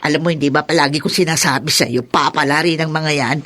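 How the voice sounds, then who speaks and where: A woman's voice: low-pitched, steady tone, quick pace, a bit bright. A woman playing a chatty, gossipy friend in a radio drama. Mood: admiring.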